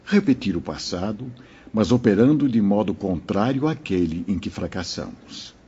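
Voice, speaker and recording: A wise old spirit teacher, voiced by a man, with a very breathy, husky voice and a warm, darkish tone. He teaches calmly and paternally, his low voice rising and falling with lively intonation over a slightly dull, lo-fi sound. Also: normal speed, heavily acted. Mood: didactic. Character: wise elderly male spirit mentor. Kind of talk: audio drama acting.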